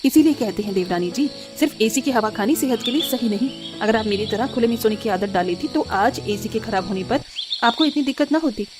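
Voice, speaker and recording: A woman voicing a kind, patient young village sister-in-law in a cartoon story, gentle and sensible. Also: fairly high pitch, natural voice.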